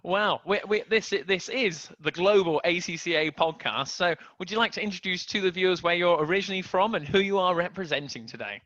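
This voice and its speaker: A man's voice: high voice, a little fast, few pauses, a bit bright, no echo, highs cut off. A man hosting a casual video podcast, joking and stumbling over restarts as he talks. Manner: chatty, jokey, stumbling restarts. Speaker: podcast host.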